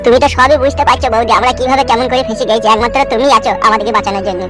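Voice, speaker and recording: A woman's voice: fairly high pitch, very fast, over loud music, lightly acted. A woman voicing an earnest, pleading villager who plots in a comedy cartoon.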